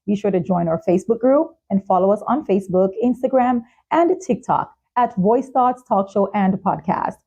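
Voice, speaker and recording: A woman's voice: medium pitch, normal speed, hardly any pauses, mellow, husky. A woman hosting a talk show and podcast, speaking as the presenter to guest and audience. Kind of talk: interview hosting.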